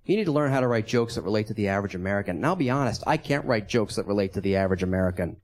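A man in his thirties performing a stand-up comedy set, delivering punchlines at a measured pace. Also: lowish voice, animated. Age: 30s.

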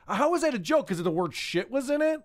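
Male host, sarcastic commentary, high animated pitch, dry recording. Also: a little fast, dry recording. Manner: sarcastic, mocking. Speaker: podcast host. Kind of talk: casual podcast commentary.

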